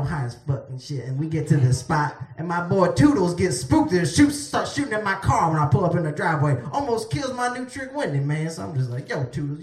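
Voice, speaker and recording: Male performer as a cocky, sleazy hustler storyteller, slangy and profane, comic monologue, slightly high voice, echoey room. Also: natural voice. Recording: roomy echo, distant microphone.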